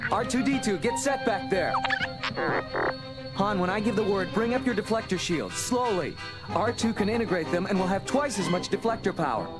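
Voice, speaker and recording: An earnest young rebel pilot in a space adventure radio play. His boyish voice sits fairly high and moves in lively swings, keen and eager, with music playing behind him. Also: normal speed. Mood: urgent, excited. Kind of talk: space adventure audio drama acting.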